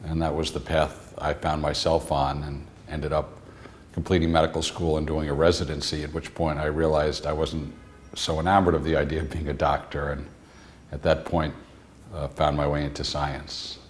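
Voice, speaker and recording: A man from the suburbs of New York City, describing his path from medicine into science in a calm, plainspoken interview. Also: a bass voice, moderate pace, a little hiss or hum. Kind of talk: reflective interview answers.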